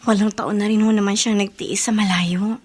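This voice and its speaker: A woman's voice: mid-range voice, steady tone, moderate pace, close to an everyday voice. An actress plays a troubled Filipino wife in a radio drama. Her mid-range voice is polite and hesitant, as a guarded daughter answering carefully. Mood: subdued, hesitant.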